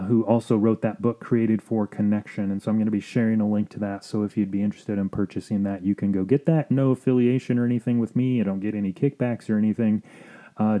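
A man with a low-pitched, very dark and soft-edged voice speaks as a podcast host. He explains in a measured, earnest monologue, in the manner of a counselor addressing listeners.

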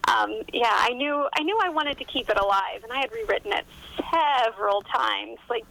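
A woman's voice: on the high side, expressive, normal speed, creaky, bright, forceful tone. A middle-aged woman from West Virginia chatting casually as an interview guest, with a telephone-like sound. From West Virginia, United States. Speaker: guest, novelist.

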